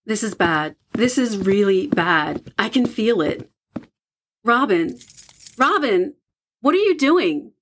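A woman's voice: mid-range voice, sing-song, takes it slowly. A woman playing a nervous, loyal young friend in an audio drama, uneasy and earnest. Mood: worried. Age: young adult.

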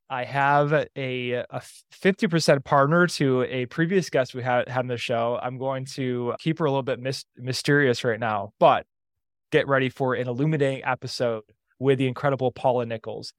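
An energetic man hosts a podcast interview at an ordinary pitch. His voice is recorded dry and close, and faint music plays under it. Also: no echo. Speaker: podcast host.

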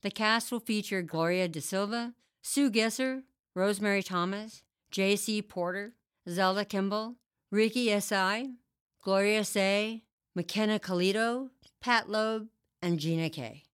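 A woman podcast host reading a formal, deliberate scripted introduction to an audio play. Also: mid-range voice, fairly bright tone, clean tone. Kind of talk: scripted narration. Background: music.

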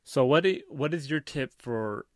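A man's voice: mid-range voice, lively intonation, unhurried, no echo, clean studio sound. A man co-hosting a podcast, chatting casually and sharing practical tips. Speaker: podcast co-host.

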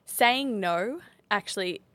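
A woman's voice: medium pitch, animated, slow, a bit bright, no room sound. A woman hosting a podcast, chatting casually and encouraging her guest with frequent murmured agreement. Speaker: podcast host.

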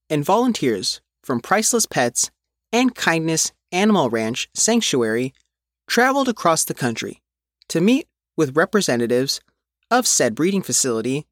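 A man reading a news article as its reporter, in measured, phrase-by-phrase scripted narration. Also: a little high, fairly bright tone, no room sound, clean studio sound. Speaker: reporter reading their own written article.

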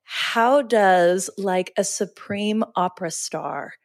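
A woman's voice: mid-range voice, takes it slowly, dry recording. Effusive female host, gushing intro, dry booth sound. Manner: enthusiastic, gushing. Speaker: podcast host.

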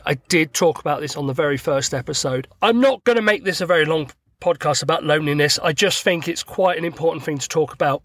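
A middle-aged man from the UK hosting his own podcast, his voice croaky from a cold, chatting and joking at himself while sat in his parked truck. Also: a little high, a bit bright, no echo. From United Kingdom. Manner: chatty, self-deprecating, joking. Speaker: podcast host. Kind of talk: casual solo podcast monologue. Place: inside his parked truck cab by a main road.

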